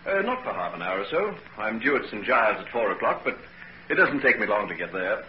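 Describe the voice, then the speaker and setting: A man acting an earnest, secretive young gentleman in a 1940s radio drama. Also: on the low side, sing-song, a little fast, dull audio. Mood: casual, guarded. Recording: noisy old 1940s radio recording.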